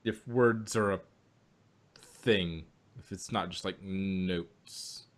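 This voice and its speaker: A man's voice: low voice, very slow, background noise. A man co-hosting an audio drama review podcast, talking loosely with many restarts and easy laughs. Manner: rambling, restarts often, laughs easily. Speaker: podcast co-host. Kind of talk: casual review chat.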